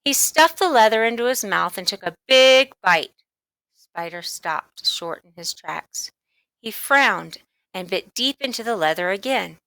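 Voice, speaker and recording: A woman's voice: medium pitch, expressive, a little slow, pushed and bright, dry recording, rough recording. A woman narrating a children's storybook as a read-along audiobook.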